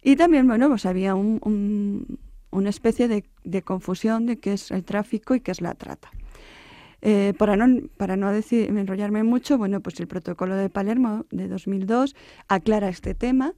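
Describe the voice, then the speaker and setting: Halting woman with a gravelly voice. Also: mid-range voice, mellow, dry recording, clean studio sound. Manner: halting, searching for words, explanatory. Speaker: anthropology professor, interview guest. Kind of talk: radio interview answer.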